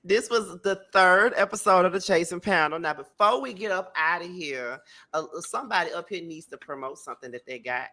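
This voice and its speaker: A man's voice: high voice, fairly quick, pushed and bright. A man hosting a casual panel show, teasing and laughing often. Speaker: panel host.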